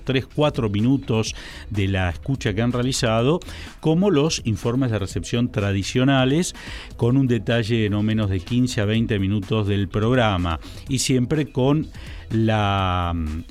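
Formal Argentine radio host, measured delivery. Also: male voice, medium pitch, darkish tone. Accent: Argentine Spanish. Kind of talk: radio talk show presenting. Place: radio studio. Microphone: studio microphone.

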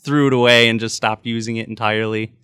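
Young man, Midwestern American accent, hesitant with fillers, smooth resonant voice, dry close sound. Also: mid-range voice, fairly even intonation, quick pace, fairly bright tone, no echo. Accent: Midwestern American, can switch to English. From England. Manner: hesitant, many fillers and restarts. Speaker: intern. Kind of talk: casual chat.

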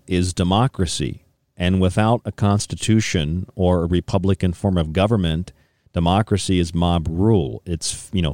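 A man's voice: low voice, darkish tone, no room sound, clean studio sound. A thirty-year-old man hosting a radio interview, speaking earnestly in long, wordy questions and rephrasing himself as he goes. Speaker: radio show host.

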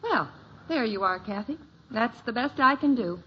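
A harried, practical housewife and mother in a 1950s radio comedy. Her voice is high and lively in pitch, heard on a dull old broadcast recording. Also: normal speed, husky. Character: busy, harried but practical suburban mother. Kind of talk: 1950s radio sitcom acting. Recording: muffled old radio recording, faint noise.

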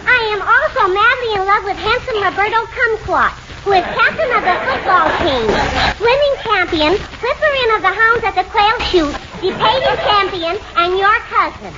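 Whiny, lovelorn comic-strip debutante. Piercingly high, melodramatic, muffled 1940s radio comedy sound. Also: female voice, lively intonation, moderate pace, a loud crowd. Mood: lovelorn complaining. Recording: noisy muffled 1940s radio, loud audience.

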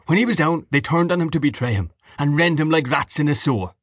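A man's voice: on the high side, lively intonation, fast talker, no room sound, studio quality, voice pushed up. A man voicing a coarse, sarcastic, blustery father in an audiobook novel. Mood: furious. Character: coarse, bitter, mocking Irish father at dinner.

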